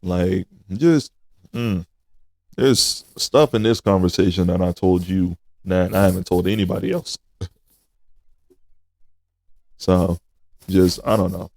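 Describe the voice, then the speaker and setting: A man in his twenties hosting a casual podcast, talking in a slow, reflective way and addressing listeners as y'all. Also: deep voice, creaky, a little mellow, no echo. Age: 20s.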